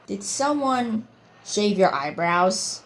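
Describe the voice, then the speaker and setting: A woman giving casual gameplay commentary as a gaming video host, halting and often rephrasing herself. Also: mid-range voice, expressive, background noise. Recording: loud game music over voice. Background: video game music.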